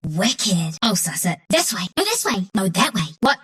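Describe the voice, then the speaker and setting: A woman's voice: medium pitch, big pitch swings, normal speed, a bit bright, breathy edge. A woman voicing a cocky, mischievous little furry forest sharpshooter for a video game, with an Australian accent and a cheeky, breezy, slangy delivery. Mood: eager. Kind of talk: video game character voice lines.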